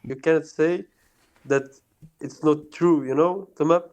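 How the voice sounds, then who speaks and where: A young man from Azerbaijan on a video chat, answering in a calm, hesitant, measured way. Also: a little high. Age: young adult.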